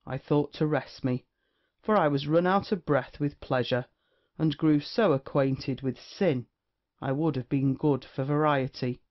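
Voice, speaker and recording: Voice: medium pitch, measured pace, natural voice. A performer playing a brash, witty young male rake in a period comedy audio play, with archaic, swaggering, flippant speech. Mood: flippant, boastful. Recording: dull, lo-fi audio.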